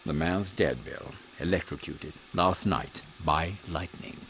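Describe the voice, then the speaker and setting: A man voicing a composed British captain of Victorian secret agents, measured and formal, in a comic audio drama. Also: rumbling low, fairly bright tone, full character voice. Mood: grave. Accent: British English. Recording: faint background noise.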